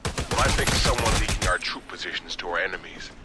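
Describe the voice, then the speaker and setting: A man's voice: deep voice, fairly quick, heavy background noise, natural voice. A man playing an earnest, principled private military contractor, talking casually in an action video game. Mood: worried, confiding. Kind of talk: scripted action game dialogue, casual soldier talk. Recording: very loud music over the voice.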